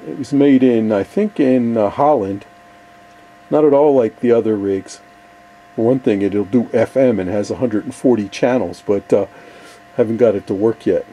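A man's voice: lowish voice, normal speed, warm tone, rough recording. An older man giving a casual, easygoing show-and-tell in his workshop, talking in a rambling, hesitant way. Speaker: ham radio hobbyist, video host. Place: cluttered indoor basement workshop.

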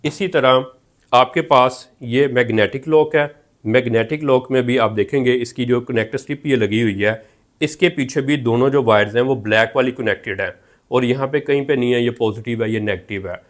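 A man's voice: mid-range voice, normal speed. Pakistani man explaining step by step. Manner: repetitive, methodical explaining. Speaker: technical instructor. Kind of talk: instructional tutorial, explaining step by step. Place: indoor room at a whiteboard.

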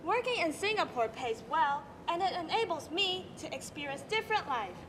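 A woman's voice: very high voice, sing-song, moderate pace, noisy background. A young woman playing a kindergarten teacher in a school stage skit, speaking polite, recited English. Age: young adult. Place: large auditorium stage. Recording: hall reverb with music behind.

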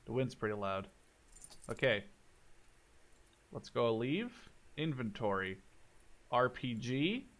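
A man in his twenties giving casual let's play commentary, thinking out loud in short phrases as if talking to himself. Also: medium pitch, sing-song, slow, pauses often. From Canada or Netherlands. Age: 20s. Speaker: gaming YouTuber playing and commentating.

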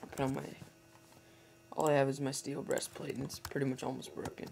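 A hoarse teenage boy chatting casually to viewers over gameplay, rambling and correcting himself as he goes. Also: medium pitch, completely flat delivery, a little fast, a little mellow, faint music behind. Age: teens. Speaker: gameplay commentator. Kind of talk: casual let's-play commentary. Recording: noisy home recording with typing.

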